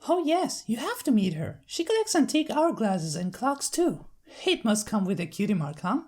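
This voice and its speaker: Young female cartoon pony played by a woman, high-pitched, lively, inquisitive and chatty. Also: moderate pace. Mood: friendly. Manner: bubbly, inquisitive.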